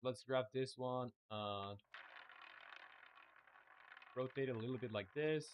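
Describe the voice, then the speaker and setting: A man's voice: medium pitch, slow, slight background noise. A young man from Chile whose first language is Spanish, talking casually and thinking aloud while building his game on a live stream. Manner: relaxed, thinking aloud with many fillers.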